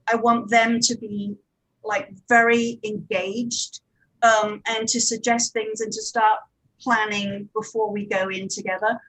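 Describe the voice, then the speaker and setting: A woman's voice: fairly high pitch, fairly bright tone, rough recording. A woman producer and director talking informally on a panel, in a conversational, hedging way.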